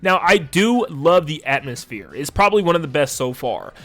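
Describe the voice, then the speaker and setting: A man's voice: a little high, lively intonation, moderate pace, a bit bright. A man giving casual, enthusiastic and emphatic video essay commentary as a conversational game reviewer. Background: music under the voice.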